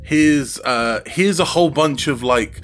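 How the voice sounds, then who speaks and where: A man's voice: a little high, measured pace, fairly bright tone, faint music behind. A man chatting casually as an interview guest about his creative work, hesitant and often circling back to rephrase as he thinks aloud.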